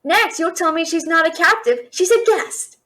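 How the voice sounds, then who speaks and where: A woman playing a feisty young thief and alchemist held captive by a dragon, expressive and sassy in a one-sided fantasy audio roleplay. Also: extremely high pitch, moderate pace. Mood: incredulous, skeptical. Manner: expressive, incredulous, sassy, questioning.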